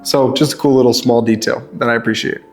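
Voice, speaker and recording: A young man with a stuffed-up nose, casually reviewing fishing lures in a relaxed, chatty way with lots of ums. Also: medium pitch, fast talker, soft background music. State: stuffed up, sniffly. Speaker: lure reviewer.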